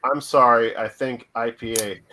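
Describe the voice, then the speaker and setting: A man in his forties co-hosting a podcast, joking around in energetic casual chat. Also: medium pitch, gravelly. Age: 40s. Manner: energetic, joking, calls people bro.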